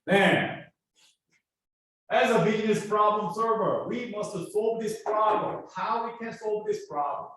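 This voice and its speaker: A man's voice: high voice, a little slow, fairly bright tone. A man with a Korean accent, lecturing as a business professor and pressing students with rhetorical questions. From South Korea. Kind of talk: classroom lecture. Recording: echoey classroom from far off.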